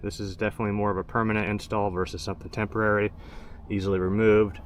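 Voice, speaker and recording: Low-voiced man, plain-spoken how-to. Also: quick pace. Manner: plain-spoken, matter-of-fact, step-by-step. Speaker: host of a truck DIY install video. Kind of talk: hands-on how-to narration, casual. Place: in and around a pickup truck. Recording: steady background noise.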